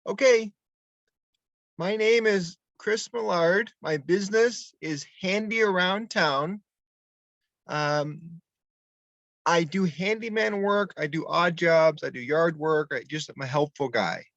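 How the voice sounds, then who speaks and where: A man's voice: on the high side, slow pace, fairly bright tone, dry recording, lo-fi sound. A man introducing himself on a video call in a matter-of-fact way. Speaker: workshop participant.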